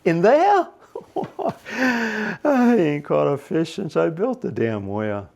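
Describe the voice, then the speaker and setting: A brash, indignant fisherman with a high, folksy voice, as a storyteller puts him on. He sounds gravelly and speaks slowly, with his pitch swinging hard. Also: sing-song, a little mellow, heavily acted. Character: high-pitched excitable male fisherman Charlie.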